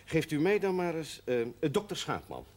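An actor playing a genial parish priest in a television period drama. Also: male voice, a little high, wildly swooping pitch, moderate pace. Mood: playful. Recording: faint noise on old television soundtrack.

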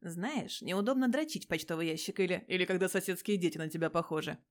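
A woman voicing a cheeky, smooth-talking police major, a man in his thirties, in an audio story. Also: fairly low pitch, fast, close to an everyday voice. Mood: glib, coaxing.

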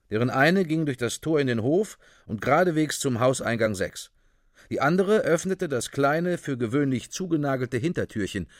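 A man narrating a radio drama like a report, deliberate and matter-of-fact, on a close studio microphone. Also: mid-range voice, dry recording.

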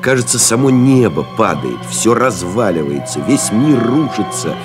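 An actor playing a pilot who recalls a lost comrade, reading solemnly, expressively and in measured literary phrases. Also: male voice, lowish voice, sing-song. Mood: solemn, reflective. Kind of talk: dramatic literary reading in an audio play. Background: music behind the voice.

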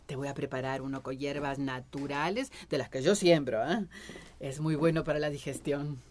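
A woman's voice: rumbling low, steady tone, moderate pace, darkish tone, slight background noise. An actress playing a hospitable woman herbalist in a radio drama, chatty and welcoming. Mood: warm, welcoming.

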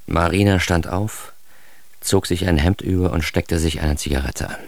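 A man's voice: low voice, normal speed, no echo. An actor narrating a radio drama as a naive, bookish young man with a wry, self-mocking and precise manner. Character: naive, bookish young man recounting his life with comic earnestness. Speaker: first-person narrator.

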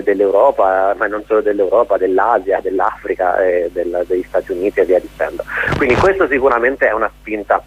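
A man's voice: on the low side, husky, dry recording. A man being interviewed on radio as a guest playwright and director, explaining at length in a reflective, articulate way over the telephone. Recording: muffled phone-line sound.

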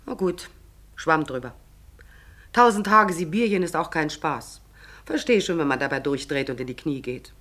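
Brusque, callous housewife, animated voice. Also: female voice, low voice, moderate pace. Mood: offhand, gossipy. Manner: blunt, offhand, sardonic. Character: brusque, callous, gossipy woman, new tenant at the door. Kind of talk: 1940s German radio drama acting.

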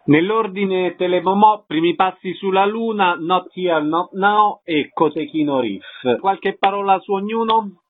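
A man with a slightly high, husky voice hosts a radio program. He announces shows in short, formal lines. The sound is dull and lo-fi. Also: a little slow, hardly any pauses. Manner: brief, formal announcing of events. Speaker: radio host. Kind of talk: radio interview hosting.

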